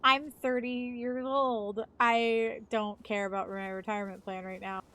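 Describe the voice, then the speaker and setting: A woman in her thirties with a fairly high voice talks in a loose, rambling way. She swears casually and keeps her tone chatty, like a streamer talking to friends. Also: little pitch movement, a little slow, sharp tone. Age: 30s. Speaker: live streamer. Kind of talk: casual chat.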